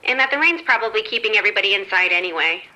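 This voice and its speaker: A woman's voice: mid-range voice, expressive, racing, bright, forceful tone, rough recording. A woman playing an upbeat, unruffled casino floor manager in an audio drama, chatting in a matter-of-fact, reassuring way. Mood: chatty. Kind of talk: scripted horror audio drama radio exchange.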